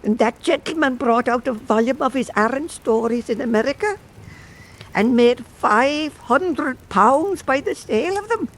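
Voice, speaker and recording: A man's voice: very high-pitched, animated, a little slow, a bit bright, exaggerated voice. An actor playing a shaky, boastful old half-blind storyteller man in a radio drama. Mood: proud, reminiscing.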